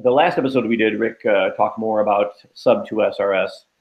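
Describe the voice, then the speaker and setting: A man's voice: on the low side, steady tone, brisk, vocal fry. A man co-hosting a casual chat show, asking questions in a jokey, lightly formal way. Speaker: podcast co-host.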